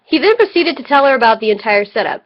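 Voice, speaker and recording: Higher-voiced drunk woman, slightly dull recording. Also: sing-song, quick pace, slight background noise. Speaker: amateur co-reader of a fan story. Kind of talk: mock-dramatic reading aloud with casual asides.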